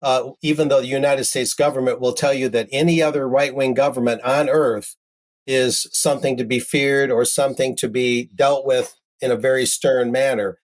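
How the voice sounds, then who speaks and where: A man's voice: medium pitch, steady tone, moderate pace. A man presenting news commentary, reading articles aloud and adding wry, conversational asides of his own.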